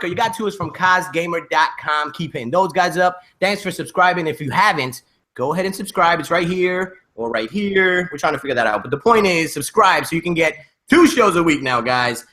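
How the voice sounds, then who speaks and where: A man hosting a casual podcast, talking in an animated, slangy, playful way. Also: sits high, fairly quick. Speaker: podcast host. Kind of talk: casual joking podcast chat.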